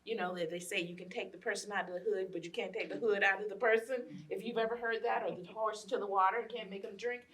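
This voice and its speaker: Woman, late fifties, American accent, bright forceful voice, emphatic repetition, slightly distant mic. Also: medium pitch, steady tone, fast, hardly any pauses. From Los Angeles, United States. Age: late 50s. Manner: emphatic, repeats phrases for effect, plain-spoken. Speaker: guest speaker, educator. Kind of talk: impassioned panel discussion.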